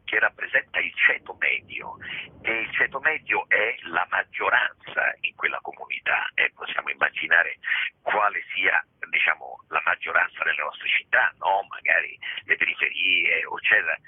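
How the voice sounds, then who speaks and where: A man's voice: deep voice, normal speed, husky, no room sound. A man, a theatre director talking through his show in a radio interview, reflective and digressive with many restarts, over a phone line. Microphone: telephone.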